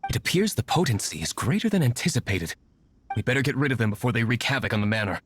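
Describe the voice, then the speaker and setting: A man playing a composed, brooding young aristocrat in a video game, speaking formally and in a measured way. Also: medium pitch, sing-song, slight background noise, lightly acted. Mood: concerned. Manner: formal, measured, well-spoken. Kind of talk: video game character acting.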